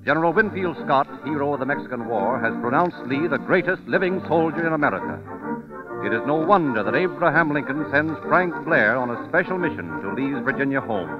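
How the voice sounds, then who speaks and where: A man's voice: fairly high pitch, lively intonation, fairly quick. A man narrating scripted stories as an announcer in dramatic, emphatic old-time radio style. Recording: dull old radio recording with music. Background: loud music.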